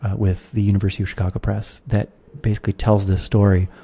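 A man in his thirties with an American accent, a guest on a podcast interview who explains history in a measured, lecturing way over a video call. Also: lowish voice, little pitch movement, warm tone, breathy edge. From United States. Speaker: guest philosopher. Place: room at home with guitars. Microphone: studio mic with headphones, video call.

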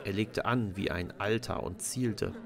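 A man's voice: on the low side, a little slow, gravelly, a crowd in the background. A man narrating a scripted children's story as a storyteller.

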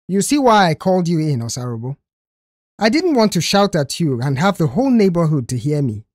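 A woman's voice: sits low, sing-song, brisk, darkish tone, no echo, studio quality. A woman voicing a stern, exasperated male boss who scolds with sarcastic questions in an audio story.

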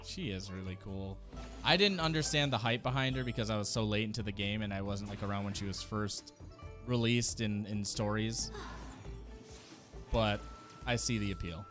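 A man's voice: fairly low pitch, normal speed, fairly bright tone. A man in his thirties giving enthusiastic, slangy live reaction commentary into a close mic. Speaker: streamer reacting to game trailers. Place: small home streaming room. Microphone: close desk microphone. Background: faint game trailer music.

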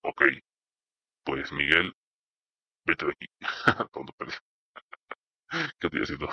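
Young host goofing around with a voice changer, slow, bright and husky. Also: male voice, sing-song. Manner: joking, rambling, silly insults. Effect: deep voice changer. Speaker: channel host. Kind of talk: playful voice-changer demo.

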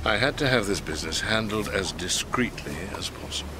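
An English actor playing an imperious senior government man in a radio drama, measured and pompous. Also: on the low side, lively intonation, long reverb tail, background noise, natural voice. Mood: measured, knowing. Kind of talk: BBC radio drama acting.

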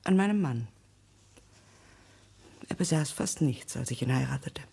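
An actress playing a middle-aged, solemn, blindly loyal wife in a radio drama, husky and often hushed. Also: female voice, rumbling low, lively intonation, unhurried, heavily acted. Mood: solemn, devoted. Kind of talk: German radio drama acting.

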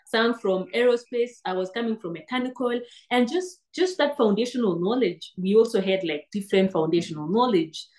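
A woman's voice: mid-range voice, a little fast, few pauses, a little mellow, rough recording. A woman in her thirties with a Kenyan accent, a guest talking earnestly over a video call and often restarting words. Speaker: guest, PhD graduate. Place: indoor room, seated on sofa. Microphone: laptop webcam on video call.

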